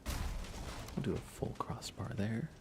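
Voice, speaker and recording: A soft-spoken man doing casual ASMR game commentary on a live stream, often slipping into whispers. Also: mid-range voice, expressive, takes it slowly. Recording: clear background noise.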